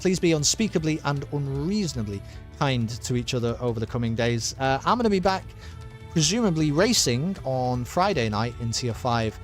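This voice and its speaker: Man commentating, voice going hoarse. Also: medium pitch, moderate pace, faint music behind. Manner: excited, rambling, with many uh fillers. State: losing his voice. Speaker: sim racing commentator and streamer. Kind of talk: live race commentary and stream sign-off.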